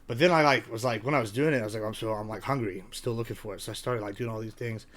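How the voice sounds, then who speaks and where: A young woman hosting a casual podcast chat, loud and profane, calling people "bro". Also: a bass voice, rapid-fire. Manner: loud, swearing, says "bro" a lot. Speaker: podcast host.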